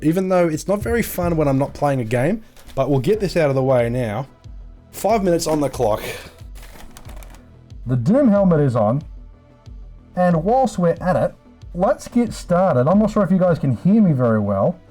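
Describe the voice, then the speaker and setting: A man's voice: fairly high pitch, dark tone. A man hosting a casual livestream, chatting in a laid-back, sweary way and addressing viewers as mates. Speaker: live streamer host. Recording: music playing in the background. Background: background music.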